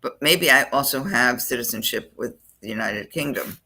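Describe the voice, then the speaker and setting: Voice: medium pitch, moderate pace, pushed and bright. A college admissions counselor giving a screen-share walkthrough of an application form, thinking aloud while clicking through the fields.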